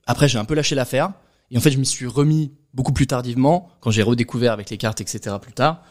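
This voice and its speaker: A man speaking quickly and casually on a podcast, his words tumbling out with enthusiasm. His voice sits at a medium pitch. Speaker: guest.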